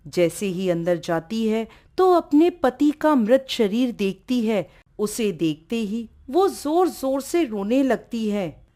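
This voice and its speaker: Unhurried, expressive woman storyteller. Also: a little high. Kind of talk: devotional folk-tale narration.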